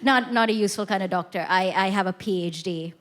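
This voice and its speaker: A woman's voice: medium pitch, quick pace. Woman comic, self-deprecating stand-up delivery, stage mic, audible noise under the voice. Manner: self-mocking, conversational punchlines. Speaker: stand-up comedian. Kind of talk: stand-up comedy set. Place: theatre stage before a live audience.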